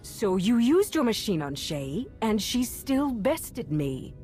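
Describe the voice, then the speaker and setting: A woman voicing a calculating, coldly menacing warlord in a video game, measured, solemn and authoritative. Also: on the high side, sing-song, dark tone. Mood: quiet menace. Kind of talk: dramatic video game monologue. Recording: music under the voice.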